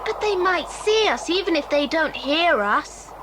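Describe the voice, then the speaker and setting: A gentle little girl in an adventure drama for children. The voice is very high and lilting, eager and earnest, over clear tape noise. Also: animated, measured pace. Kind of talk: children's audiobook drama acting. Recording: hissy 1980s cassette transfer.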